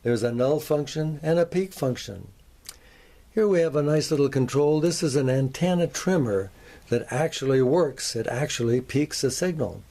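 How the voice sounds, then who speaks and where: An older man with an American accent gives a folksy, measured show-and-tell, addressing viewers directly like a hobbyist presenter. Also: medium pitch, warm tone. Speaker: presenter, antique radio hobbyist. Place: home workshop.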